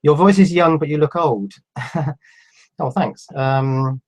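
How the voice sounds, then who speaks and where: A man in his fifties with a cold, reading out viewer questions on a live stream and answering them in a relaxed, halting way. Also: a little high. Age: 50s. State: has a cold. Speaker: electronics YouTuber answering live chat. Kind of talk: casual live stream chat.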